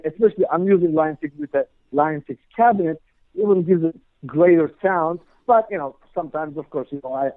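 A man talks through a narrow, tinny phone line. His voice sits a bit high, and he rambles casually, leaning on filler words. The sound is dry, with no room around it. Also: no room sound. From Turkey. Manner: rambling, heavy on "you know" and "like" fillers. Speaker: guest guitarist. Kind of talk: casual interview chat.

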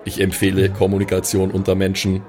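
A man's voice: deep voice, completely flat delivery, a little fast, darkish tone, faint music behind, some chatter behind, pitched below the performer's own voice, natural voice. A man voicing a haughty artificial intelligence with a formal, condescending manner in a tabletop audio game. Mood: haughty, cool.

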